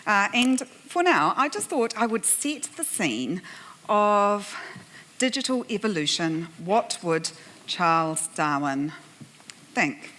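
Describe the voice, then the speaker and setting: A woman's voice: medium pitch, very animated, takes it slowly, fairly bright tone. A woman with a New Zealand accent, moderating a conference panel and telling a humorous, self-deprecating story to the audience with frequent laughs. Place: conference plenary room.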